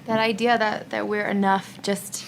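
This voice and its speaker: A woman's voice: medium pitch, flat delivery, normal speed, gravelly, a loud crowd. A woman hosting a casual podcast roundtable discussion. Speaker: host.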